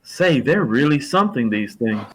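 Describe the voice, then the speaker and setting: A man's voice: medium pitch, sing-song, normal speed, fairly bright tone, a little hiss or hum, low-quality recording. Mild, folksy shopkeeper dad, played by a man. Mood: curious. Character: mild, folksy small-store owner, henpecked father. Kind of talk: hobby radio play acting.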